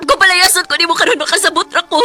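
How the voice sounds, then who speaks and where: Very high-voiced remorseful Filipina. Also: female voice, very fast, bright, forceful tone, full character voice. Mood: tearful, pleading. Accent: Cebuano Filipino. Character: remorseful, pleading young woman confessing to her fiancé. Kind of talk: radio drama acting. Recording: music bed behind the voice.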